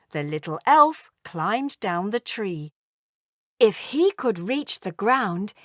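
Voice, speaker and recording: A woman narrating a children's story aloud in an expressive, deliberate storytelling manner. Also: fairly high pitch, no echo, studio quality.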